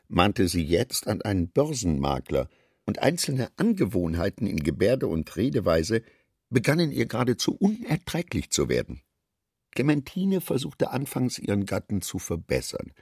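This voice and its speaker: A man reading scripted literary narration for a radio play in a measured, articulate, dryly ironic manner. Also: low-pitched, a little mellow, husky, no room sound, clean studio sound.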